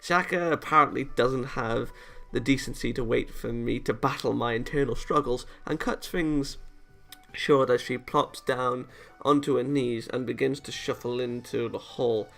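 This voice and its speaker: Man, visual novel read-aloud, theatrical delivery, gravelly fry, occasional stumbles, music behind. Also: medium pitch, steady tone, moderate pace, a bit bright. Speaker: let's-play host reading a visual novel aloud. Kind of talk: expressive read-aloud narration of a game.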